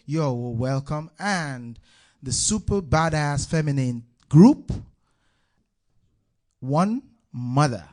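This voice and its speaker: A man's voice: fairly high pitch, expressive, takes it slowly, pauses often, a little mellow. A man hosting a live talk show on stage at an art venue, introducing guests in loose, chatty patter.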